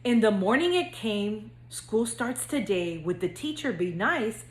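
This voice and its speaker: A woman school counselor speaking to young children in a gentle, singsong read-aloud storytelling manner. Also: medium pitch, animated, unhurried, roomy sound.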